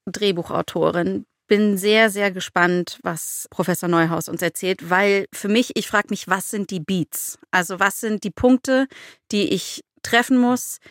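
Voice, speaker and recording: A woman's voice: medium pitch, measured pace, fairly bright tone, dry recording. Casual woman co-host, dry studio sound. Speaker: podcast co-host and screenwriter. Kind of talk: casual chat.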